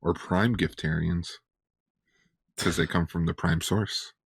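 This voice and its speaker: Man, low-pitched voice, close dry sound, podcast co-host, casual quips. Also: steady tone, measured pace, gravelly, breathy edge, no room sound. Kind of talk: casual pop-culture chat.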